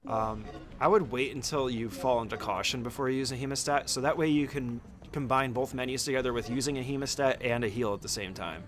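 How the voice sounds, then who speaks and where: A man's voice: mid-range voice, a little fast, hardly any pauses. Casual man talking through a game tutorial. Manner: informal, swears freely, explains step by step. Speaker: speedrunner giving a tutorial. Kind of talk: casual gameplay tutorial commentary.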